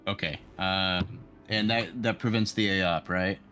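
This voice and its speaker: A man's voice: low-pitched, steady tone, moderate pace, over music. A man chatting casually as a player in a tabletop game, somewhat hesitant and questioning.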